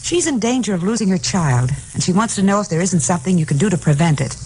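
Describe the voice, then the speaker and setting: An American actress playing an outspoken, insistent young secretary in a 1950s radio drama. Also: female voice, lowish voice, very animated, fast talker, darkish tone. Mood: insistent, sympathetic. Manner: insistent, assertive. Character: spirited, outspoken secretary, young woman pushing boss to act. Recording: noisy vintage radio recording.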